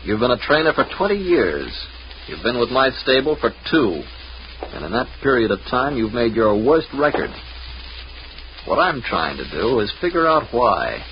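A man's voice: medium pitch, sing-song, moderate pace. A wealthy, suspicious male stable owner in a vintage radio drama. He is imperious and sardonic, with widely swinging intonation. The sound is dull and a little noisy. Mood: accusing. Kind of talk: 1940s radio acting. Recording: muffled, lightly noisy vintage radio recording.

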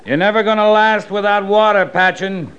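An actor with an American accent playing a stoic, fearless cavalry captain, terse and plain-spoken, in 1950s Western radio style. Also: male voice, sits high, normal speed, a bit bright, dull audio. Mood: steady. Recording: noisy 1950s radio recording.

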